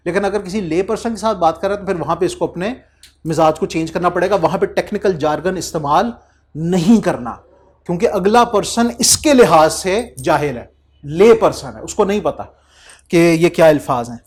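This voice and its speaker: A man's voice: sits high, normal speed, breathy edge. A young man teaching an accountancy class, calling his students children and acting out little dialogues. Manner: addresses students as children, acts out quoted dialogues. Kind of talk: classroom lecture.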